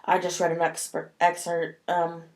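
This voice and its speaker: A woman's voice: lowish voice, completely flat delivery, normal speed, darkish tone. A woman talking casually to camera in a vlog, sniffly from a cold and rambling with many fillers. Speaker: vlogger.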